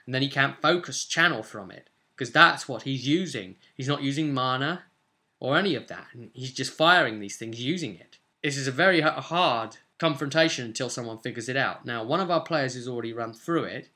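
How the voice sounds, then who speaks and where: Casting: British male, twenties, bright forceful voice, mid pitch, chatty rambling game-master explainer with emphatic bursts. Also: animated, brisk. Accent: British English. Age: 20s. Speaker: game master, video host. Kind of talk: casual explanatory vlog about tabletop role-play. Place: small home room, indoors.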